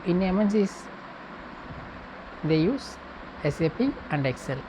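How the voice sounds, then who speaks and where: A woman tutor explaining a procedure step by step, repeating phrases in short, halting segments. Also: fairly low pitch, lively intonation, background noise. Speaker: accounting tutor.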